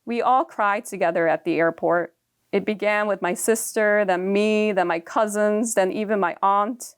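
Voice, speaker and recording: A young woman whose first language is Japanese, reading her own personal prose aloud in a calm, measured and reflective way. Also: mid-range voice, clean tone.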